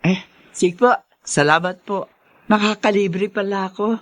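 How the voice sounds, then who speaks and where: A woman's voice: mid-range voice, unhurried, no room sound, close to an everyday voice. A woman playing a hardworking, firm and devoted middle-aged mother in a radio drama. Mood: grateful, friendly.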